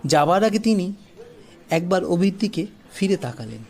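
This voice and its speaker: A man narrating a scripted horror story as a storyteller, delivering it dramatically and deliberately, phrase by phrase. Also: sits high, animated, vocal fry, a little mellow. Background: faint background music.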